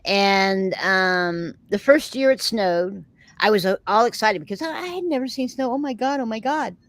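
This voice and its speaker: A woman's voice: medium pitch, lively intonation, moderate pace, no echo. A middle-aged woman with a Texan accent, hosting a casual chat with viewers in a rambling, chatty way and laughing often. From Texas. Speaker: host of a live chat show.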